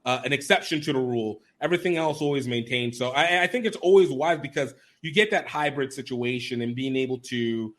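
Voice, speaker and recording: A man hosting a casual interview podcast. He chats in a lively, laughing way and acts out quoted voices with big jumps in pitch. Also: fairly quick, few pauses. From Nigerian family, raised in America. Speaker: podcast host.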